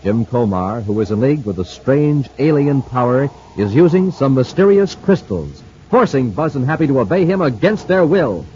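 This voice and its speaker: A man's voice: mid-range voice, very animated, normal speed, hardly any pauses, darkish tone. A man announcing in an emphatic, theatrical 1950s radio style, reading scripted dramatic promotion. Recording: noisy muffled vintage radio recording.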